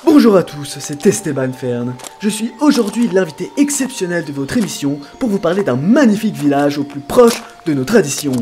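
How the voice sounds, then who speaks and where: Guy doing a prissy polite tv reporter in a comedy sketch, super animated pitch, flowery talk, bit of music under it. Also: normal speed, hardly any pauses. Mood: pompous, cheerful. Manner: flowery, courteous, stammering when flustered. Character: polite, pompous male reporter, easily flustered. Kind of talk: parody travel reporting over music.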